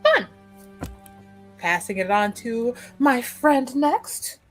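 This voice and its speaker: A woman's voice: a little high, sing-song, extremely slow, faint music behind. A woman chatting casually as a player in a tabletop roleplaying game. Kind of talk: casual chat introducing a character.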